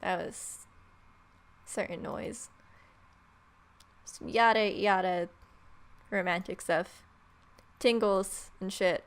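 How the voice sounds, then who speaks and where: Female let's play host, mid-pitched, irreverent and playful, switching between narration and offhand remarks. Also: steady tone, slow pace, pauses often, smooth. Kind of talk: visual novel read-aloud with casual commentary.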